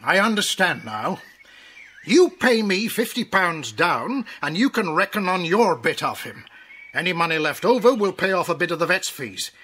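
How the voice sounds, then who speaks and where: Bright-voiced, kindly horse owner. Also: male voice, high-pitched, sing-song, normal speed, husky, exaggerated voice. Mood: firm, grateful. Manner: homely, plain-spoken, affectionate. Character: kindly, grateful working man devoted to his horse. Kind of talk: children's audiobook dramatization. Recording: noisy cassette tape with clicks.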